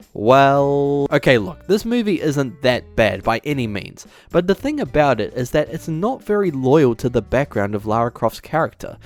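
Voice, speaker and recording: A man's voice: a little high, a little mellow. A man giving scripted, opinionated commentary in a conversational, emphatic way. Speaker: YouTube video essayist, host. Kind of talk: scripted commentary on video game movies.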